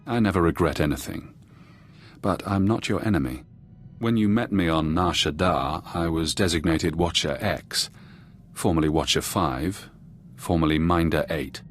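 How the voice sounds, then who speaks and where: A sinister, all-knowing phantom spymaster in a video game. His voice is low and measured, cryptic and menacing, with clear music playing underneath. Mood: cold, knowing. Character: sinister hallucinated male ex-intelligence watcher, calm and knowing. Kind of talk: video game cutscene voice acting.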